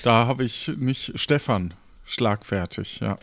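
A man's voice: medium pitch, slow, gravelly, noisy background. A man hosting a podcast in a casual, chatty style. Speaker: podcast host. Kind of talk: casual chat.

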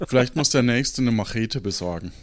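A man's voice: mid-range voice, fairly quick. A man hosting a casual group debrief, thinking aloud with a hesitant manner. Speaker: podcast host and game leader. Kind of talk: casual group debrief after an improvised audio play.

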